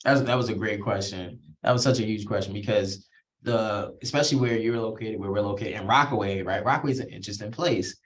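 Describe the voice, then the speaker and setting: Relaxed, low-voiced male host. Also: fast. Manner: relaxed, conversational, frequent "right?" and "you know". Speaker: interview host. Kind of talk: casual interview questions.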